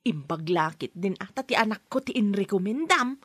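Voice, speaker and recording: A woman playing a breathy Ilocano mother. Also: mid-range voice, animated, normal speed, no echo. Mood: warm. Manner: gentle motherly coaxing. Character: older mother, caring and hopeful. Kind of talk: radio drama acting.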